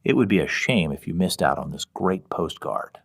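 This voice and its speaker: A man narrates a scripted horror story in the first person. His voice sits very low. He reads as the author of a fiction podcast. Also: animated, normal speed, vocal fry.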